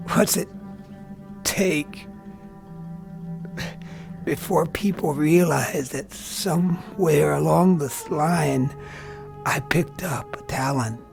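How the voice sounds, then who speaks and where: An actor playing a tormented, bitter young army lieutenant in an intense radio drama style. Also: male voice, a little high, gravelly, heavily acted. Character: tormented young army lieutenant who sees death on faces. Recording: radio drama with music underneath.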